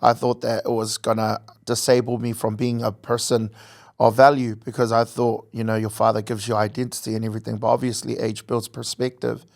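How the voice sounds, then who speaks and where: A man's voice: medium pitch, level tone, a little fast, creaky, darkish tone. Earnest young New man guest. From American Samoa, raised in New Zealand. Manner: earnest, reflective, with jokey asides. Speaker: guest, content creator. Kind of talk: casual interview chat.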